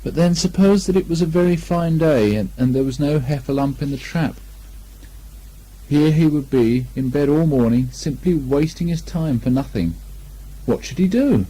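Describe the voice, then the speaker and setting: A man reading a children's story aloud as an expressive narrator. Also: on the high side, normal speed, breathy edge. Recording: lo-fi 1980 amateur recording.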